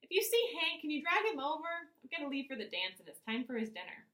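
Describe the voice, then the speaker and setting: A woman voicing an excitable, slightly sassy preteen girl in a children's story. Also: high-pitched, fast, reverberant, pitched above the performer's own voice, heavily acted. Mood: calling out.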